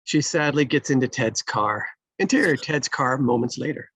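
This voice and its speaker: A narrator reads out screenplay action lines. The voice is gravelly and rough-edged, recorded close and dry. The delivery is plain scripted narration. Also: medium pitch, moderate pace, fairly bright tone, no room sound. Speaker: stage-direction reader.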